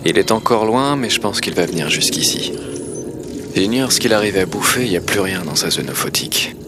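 Low-voiced, brooding keeper of a deep-sea station played by a man. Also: animated, fairly quick, natural voice. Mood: subdued, brooding. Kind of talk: audio drama logbook monologue. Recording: music behind the voice.

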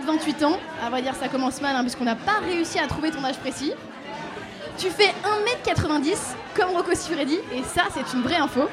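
High-pitched radio host lady, teasing and laughy, crowd and music behind. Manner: playful, teasing delivery, laughing between lines. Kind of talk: radio interview introduction.

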